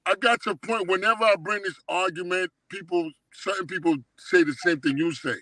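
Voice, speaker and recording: A man's voice: high voice, fairly quick, bright, forceful tone, no room sound, poor audio. A middle-aged man reminiscing casually in street slang, emphatic and often checking that he is understood. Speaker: guest telling life stories.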